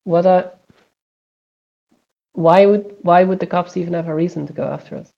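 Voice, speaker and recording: A woman's voice: on the low side, steady tone, moderate pace, gravelly. A woman at a tabletop roleplaying game, talking casually and asking questions. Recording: slightly dull, lo-fi microphone sound.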